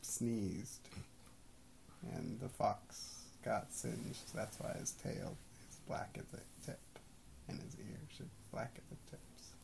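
A man's voice: lowish voice, animated, takes it slowly, creaky, pauses often, darkish tone, slight background noise, low-quality recording. A man in his thirties chatting casually and playfully in a small bedroom, musing as he goes. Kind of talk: casual chat. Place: small bedroom, lying in bed.

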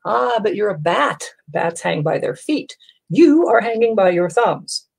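A woman's voice: medium pitch, animated, measured pace, creaky, a little mellow, breathy edge, exaggerated voice. A woman voicing a blunt, matter-of-fact bat in a storybook, correcting emphatically. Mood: blunt, puzzled.

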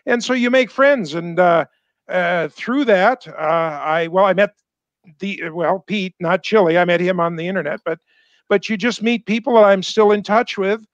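A high-voiced older man speaks through a headset in a dull, dry sound. He chats casually and haltingly, stopping often with "uh" as he gathers his thoughts. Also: no room sound. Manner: halting, full of "uh" and restarts. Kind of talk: casual chat. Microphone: headset mic on a video call.

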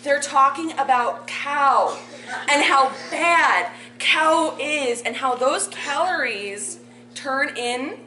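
A woman in her thirties reading aloud from a sheet in a community room, explaining a fake translation in a deadpan, mock-scholarly way. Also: sits high, slow. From Poland. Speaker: bardic performer. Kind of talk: mock translation comedy bit. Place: community meeting room with audience. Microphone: far camera. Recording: echoey room from afar.

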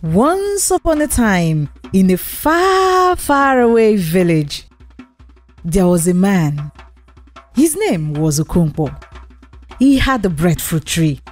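A woman narrates a folktale with a very lively, swooping pitch. She leans on key words and draws them out for drama. Soft percussive music plays faintly behind her storytelling. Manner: dramatic, drawn-out emphasis. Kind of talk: expressive children's folktale storytelling.

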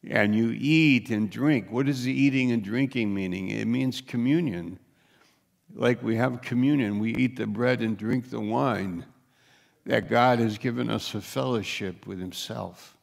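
Slow, gravelly older man preaching. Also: medium pitch. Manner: slow, emphatic preaching, rising to urgent questions. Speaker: pastor. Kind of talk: sermon. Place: church stage at a lectern.

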